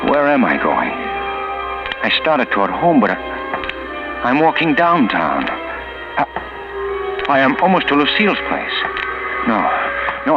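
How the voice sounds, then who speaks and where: A man's voice: mid-range voice, heavily acted. A man playing a guilt-ridden, stammering, distracted murderer in a 1940s radio drama. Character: guilt-ridden, jittery murderer wandering at night. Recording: lo-fi vintage radio, loud music.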